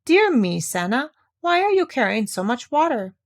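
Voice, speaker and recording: A woman's voice: fairly high pitch, sing-song, normal speed, pitched above the performer's own voice. Woman reading as an earnest, devoted little girl. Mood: curious. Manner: earnest, pleading, old-fashioned solemn phrasing.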